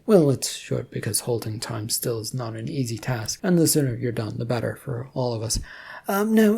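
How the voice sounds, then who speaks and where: A reader voicing a mischievous, theatrical male god with gravelly edge. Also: medium pitch, dark tone, exaggerated voice. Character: mischievous male god, grand showman setting challenges.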